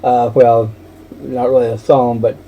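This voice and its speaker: A man's voice: medium pitch, level tone, a little slow, muffled recording. A man chatting casually and informally. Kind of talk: casual chat.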